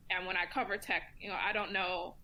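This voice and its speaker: A woman speaking as a guest commentator in a casual round-table discussion, relaxed and chatty with many ums and likes. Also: on the low side, fairly quick, slight background noise, rough recording.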